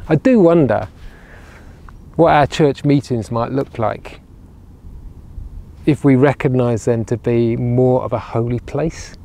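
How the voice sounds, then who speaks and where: A man's voice: mid-range voice, takes it slowly, creaky, pauses often, dark tone. A man, preaching earnestly to camera outdoors on a lapel mic, often repeating words as he builds a point. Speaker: regional minister. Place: outdoors by ruined castle walls on a sunny day. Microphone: clip-on lapel mic.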